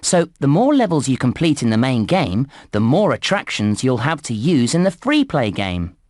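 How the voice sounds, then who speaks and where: Animated, chirpy game guide played by a man. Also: medium pitch, no echo, clean studio sound, natural voice. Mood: helpful, upbeat. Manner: clear, deliberate, instructive delivery. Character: chirpy, helpful male theme park game guide. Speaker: video game tutorial narrator. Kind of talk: scripted game instructions for children.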